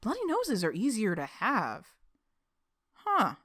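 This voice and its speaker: A woman's voice: mid-range voice, wildly swooping pitch, takes it slowly, fairly bright tone, exaggerated voice. A woman playing a chatty, cheeky twelve-year-old girl narrating her diary informally in an audio drama, with music behind.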